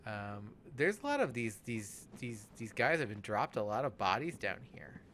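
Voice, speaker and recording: A man's voice: fairly low pitch, big pitch swings, fairly bright tone. Rambling man over noticeable background noise. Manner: rambling, halting, trailing off mid-thought. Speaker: let's play podcast host. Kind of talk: casual chat, improvised game commentary.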